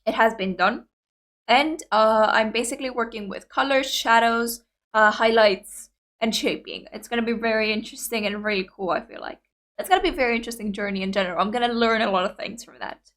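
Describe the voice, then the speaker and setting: A young woman whose first language is Greek, hosting a reaction video in an excitable, chatty way full of fillers. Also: fairly high pitch, brisk. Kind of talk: casual reaction chat.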